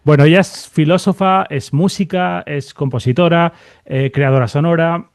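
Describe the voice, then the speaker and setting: A man interviewing a guest conversationally as podcast host, in halting phrases full of repeated tag questions. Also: a little high, fairly even intonation, darkish tone, no room sound, clean studio sound.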